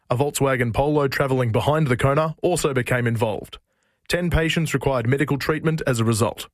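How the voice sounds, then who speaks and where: A man's voice: mid-range voice, no room sound. Australian male radio reporter, dry sound. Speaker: news reporter. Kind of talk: scripted news report.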